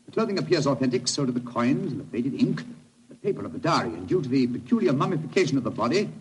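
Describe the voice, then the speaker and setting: A brisk, superior British detective played by a man in an old radio drama, swooping animatedly as he lectures and commands. The recording is vintage, with faint noise. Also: medium pitch, very animated, natural voice, low-quality recording. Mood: excited deduction. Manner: crisp, pedantic, commanding. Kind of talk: 1940s radio drama acting.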